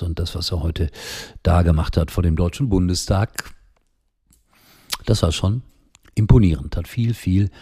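An older man hosting a casual daily football talk show, chatty and rambling with lots of fillers, a little hoarse from a cold. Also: deep voice, mellow. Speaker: football commentator and show host.

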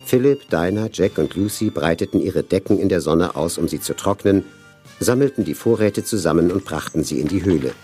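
A man's voice: sits low, expressive, a little fast, mellow. A man narrating a children's adventure audio drama in a scripted storytelling style. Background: music.

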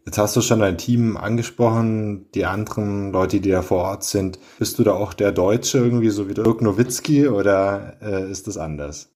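A man's voice: on the low side, normal speed, few pauses, a little mellow. A male host asking interview questions in a friendly, structured way.

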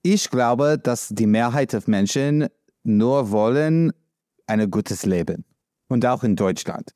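A man from the United States with an American accent, speaking in a halting, searching way with many fillers, answering as an interviewee. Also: medium pitch, animated, warm tone, dry recording, studio quality. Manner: halting non-native speech with many fillers. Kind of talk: conversational interview.

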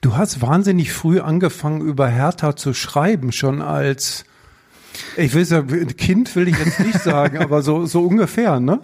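A man's voice: a little high, moderate pace, darkish tone, slightly rough. A man hosting a live stage talk, asking questions with plenty of hesitant fillers. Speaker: host. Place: theater stage.

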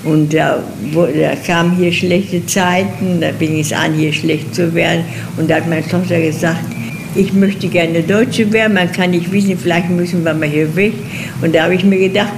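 Older german-born woman, gravelly voice, keeps restarting, hissy old interview tape. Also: fairly low pitch, steady tone. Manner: halting, repeats words and restarts. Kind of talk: recorded interview, personal recollection. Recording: noisy archival interview recording.

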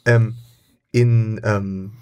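A man's voice: on the low side, little pitch movement, extremely slow, darkish tone, clean tone. A middle-aged man from Berlin who co-hosts a football podcast, talking casually and rambling with many fillers and restarts. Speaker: podcast co-host.

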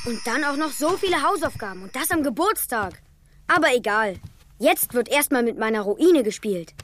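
Little schoolboy in a kids audio play, high voice, confused and exclaiming. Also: sing-song, normal speed, a bit bright, slight background noise. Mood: grumbling, then eager. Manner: childlike, puzzled, exclaiming. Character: young schoolboy Tobi, bewildered, playing with toys. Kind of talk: children's audio drama acting.

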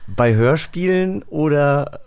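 A man's voice: a little high, lively intonation, slow pace, darkish tone, clear, resonant tone. A man co-hosting a podcast, asking questions in a casual, conversational way. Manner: informal, conversational. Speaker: podcast co-host, interviewer. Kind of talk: casual interview chat.

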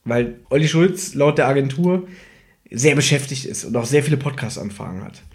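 A man's voice: medium pitch, animated, moderate pace, a little mellow. A man, a podcast host chatting casually and humorously in his living room, sharing one microphone. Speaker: podcast host and audio drama fan. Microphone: one microphone shared with co-host.

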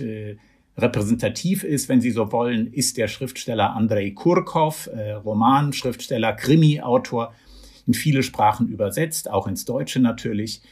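A man reports as a correspondent in a slightly husky voice, explaining things in a measured way. He pauses often and fills the gaps with hesitation sounds as he thinks. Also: medium pitch. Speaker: foreign correspondent. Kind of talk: radio interview answers.

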